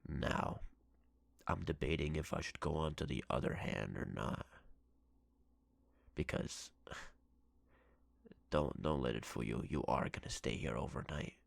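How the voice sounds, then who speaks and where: A menacing, obsessive ex-boyfriend acted by a man for an ASMR roleplay. His voice is extremely low, thick with fry and breathy, in a very dry, close recording. He speaks with possessive, threatening deliberation. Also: slow pace, pauses often, no room sound, heavily acted. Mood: scornful, threatening. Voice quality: gravelly, husky, breathy. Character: menacing obsessive yandere ex-boyfriend, a jealous captor.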